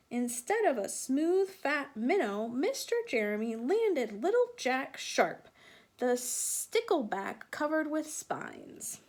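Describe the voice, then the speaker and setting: A woman with a fairly high voice reads a children's storybook aloud. She is expressive, leaning into the sound words and speaking directly to her young listeners. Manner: expressive, with sound-effect emphasis and questions to listeners.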